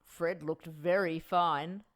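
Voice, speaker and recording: A woman's voice: fairly low pitch, fairly even intonation, slow. A woman playing a caring, practical wartime mother organising her grown family in a radio play. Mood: cheerful, organising. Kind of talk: amateur radio play dialogue.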